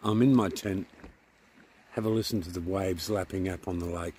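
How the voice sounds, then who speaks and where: A man's voice: low-pitched, normal speed, slight background noise. A middle-aged man with an Australian accent, chatting casually to a handheld camera while camping by a lake. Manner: relaxed, chatty, easygoing. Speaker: vlogger. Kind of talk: casual travel vlog narration. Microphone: handheld camera at arm's length.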